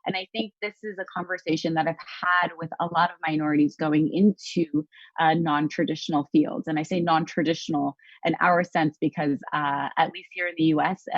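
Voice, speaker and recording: A woman's voice: deep voice, steady tone, a little fast, dry recording. A woman journalist, speaking earnestly and reflectively in a panel discussion, with frequent fillers and restarts. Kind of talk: panel discussion on a live stream. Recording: dull lo-fi audio, dry.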